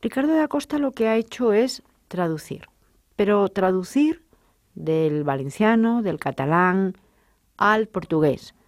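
A woman's voice: mid-range voice, animated, measured pace, dry recording. A woman hosting an interview in a university studio, articulate and welcoming, asking long flowing questions. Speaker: radio interview host. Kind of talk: radio interview.

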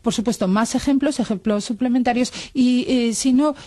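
A woman from a language research group, speaking at a round-table discussion in a measured way with many fillers. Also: fairly high pitch, warm tone, dry recording. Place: studio table with other guests.

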